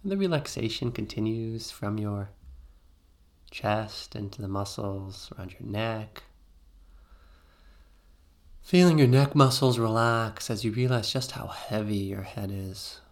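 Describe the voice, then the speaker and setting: A man with a fairly low voice leads a guided meditation. He gives soothing, gentle instructions and leaves pauses between phrases.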